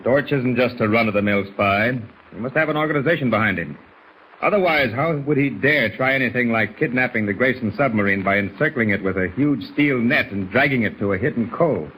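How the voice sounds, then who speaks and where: An actor playing a stern naval base commander in a 1940s radio serial, brisk, clipped and authoritative. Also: male voice, medium pitch, fairly bright tone, lightly acted. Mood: businesslike. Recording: slightly noisy 1940s radio recording.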